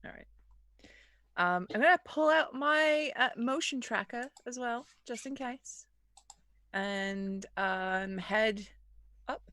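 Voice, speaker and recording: A woman with a bright, forceful voice plays a tabletop roleplaying game. Her sound is very dry and close, and she casually describes her character's moves. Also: on the high side, slow, long pauses, dry recording.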